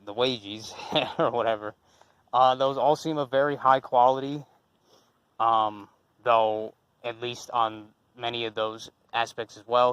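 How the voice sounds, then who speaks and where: A man's voice: mid-range voice, fairly bright tone, clear, resonant tone, dry recording. A young man giving an unscripted commentary response, rambling with many fillers and restarts and swearing casually. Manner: casual and profane. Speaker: channel host.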